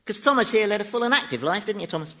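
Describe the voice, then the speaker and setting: An actor playing a sardonic, mocking Devil who chats like a gleeful tour guide in a radio sitcom. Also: male voice, sits high, animated, racing. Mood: smug mockery. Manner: mocking, chatty, mock-polite.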